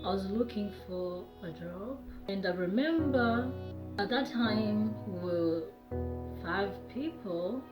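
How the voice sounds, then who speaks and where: A woman's voice: medium pitch, lively intonation, slow, highs cut off. Rwandan woman in an echoey room. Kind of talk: personal testimony. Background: music.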